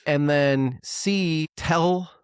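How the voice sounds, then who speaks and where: A man's voice: on the high side, extremely slow, clean tone, no echo, studio quality. A man co-hosting a casual music podcast, joking and sarcastic, swearing now and then as he chats. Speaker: podcast co-host.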